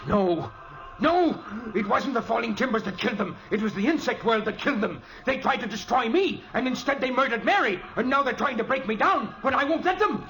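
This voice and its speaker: An actor playing a frantic middle-aged professor who is obsessed with insects, pleading and raving, in an old radio play. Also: male voice, very high voice, lively intonation, brisk, bright, forceful tone, exaggerated voice, poor audio.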